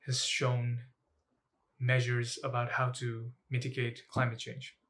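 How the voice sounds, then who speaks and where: A young man, a student, giving a personal advocacy talk in measured, halting phrases with pauses. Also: mid-range voice, monotone, a bit bright. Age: young adult. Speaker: student speaker.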